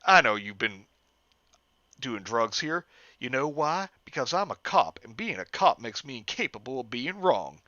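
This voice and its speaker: A man's voice: mid-range voice, big pitch swings, moderate pace, no echo. A man voicing a bigoted, trigger-happy male police officer in a drawling hick voice, on a dull lo-fi recording. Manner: drawling, loud and blustering.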